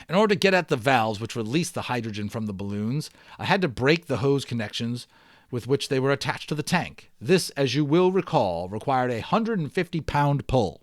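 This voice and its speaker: Expressive man reading aloud, dry sound. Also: medium pitch, no echo. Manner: expressive, folksy. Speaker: reader and host of a read-aloud series. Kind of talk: dramatic storybook read-aloud with casual asides.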